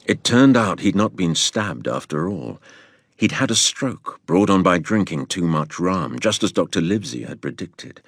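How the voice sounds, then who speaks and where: Low-voiced storyteller father. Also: dry recording, clean studio sound. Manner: measured, matter-of-fact. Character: calm grown man recounting his past to family. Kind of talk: dramatised storytelling narration.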